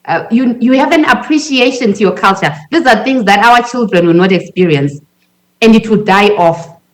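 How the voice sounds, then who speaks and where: A woman's voice: fairly high pitch, expressive, moderate pace. A woman with a Zimbabwean accent speaking off the cuff as a panelist, in an emphatic, persuasive and conversational way.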